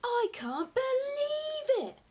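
Exuberant storybook dad, acted by a woman, high-pitched, boastful, grandly emphatic, lingering on key words. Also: sing-song, unhurried, heavily acted. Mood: elated disbelief. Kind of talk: acted audiobook dialogue.

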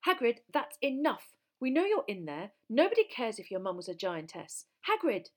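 A woman voicing a fierce, hot-tempered teenage schoolgirl with a shrill, emphatic delivery in a story reading. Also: normal speed. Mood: urgent, indignant.

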